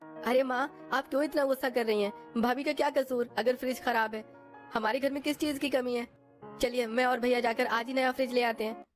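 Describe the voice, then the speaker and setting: A woman's voice: fairly high pitch, fairly even intonation, a little fast, faint music behind, pitched above the performer's own voice, natural voice. A woman voicing an earnest young brother-in-law in a cartoon who defends his sister-in-law. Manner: earnest, pleading.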